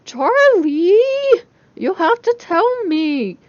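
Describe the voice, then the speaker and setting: A woman voicing an excitable, nosy young female roommate with a gushing, exaggerated manner in a story reading. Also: very high-pitched, very slow, no room sound, highs cut off, voice pushed up, heavily acted. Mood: eager, pleading.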